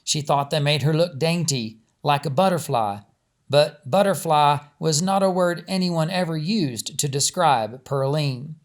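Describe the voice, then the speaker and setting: A man's voice: on the high side, a little slow. A man, an author reading his own storybook and chatting casually around it. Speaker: author and narrator. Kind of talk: storybook narration and casual chat.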